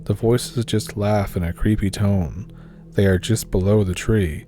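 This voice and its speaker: A man calmly reading scary stories aloud as the narrator of a horror channel. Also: on the low side, unhurried, soft background music.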